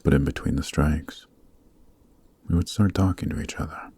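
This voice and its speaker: A man playing a noble, gentle demon king in an ASMR roleplay, speaking in a measured, soothing near-whisper. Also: extremely low pitch, slightly rough, exaggerated voice. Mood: reflective. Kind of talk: ASMR roleplay, soft dramatic acting.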